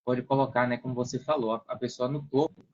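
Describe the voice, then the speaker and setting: A man's voice: medium pitch, steady tone, quick pace, a little mellow, lo-fi sound. A man with a Brazilian accent explaining things casually as a podcast guest, acting out hypothetical quotes as he goes.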